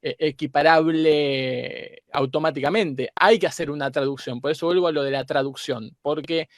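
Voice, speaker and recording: A man's voice: on the high side, steady tone, normal speed, dry recording, studio quality. A man with an Argentine accent giving a thoughtful, teacherly explanation as a panel guest, often searching for the next word. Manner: didactic, hesitant word-searching. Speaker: guest academic.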